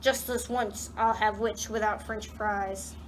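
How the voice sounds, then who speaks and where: A woman's voice: mid-range voice, fairly even intonation, slow, a little hiss or hum, voice pushed down, close to an everyday voice, poor audio. A woman voicing a mean, boastful dragon in a children's storybook. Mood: menacing, greedy.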